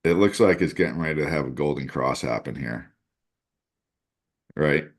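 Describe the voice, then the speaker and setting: A man's voice: a bass voice, normal speed. A man co-hosting a casual talk show, giving chart commentary in a conversational way. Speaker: crypto show co-host, chart analyst.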